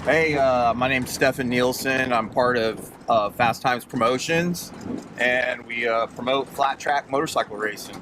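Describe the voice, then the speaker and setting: A man, a race promoter, explains an event in a halting, plain-spoken way. His voice is mid-pitched and ordinary. Clear raceway noise surrounds him outdoors. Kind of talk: casual on-camera explanation.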